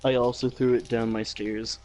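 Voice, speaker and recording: A man's voice: mid-range voice, little pitch movement, normal speed, dark tone, a little hiss or hum, poor audio. A man chatting casually as a player in a tabletop game, joking and swearing freely. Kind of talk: casual chat.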